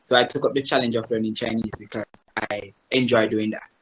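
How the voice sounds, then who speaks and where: A man's voice: lowish voice, level tone, a little fast, slight background noise, rough recording. A Jamaican man, a Chinese teacher, explaining things to a group on a video call and often checking in with "right?". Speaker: guest presenter, Chinese teacher. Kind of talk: online presentation to a group.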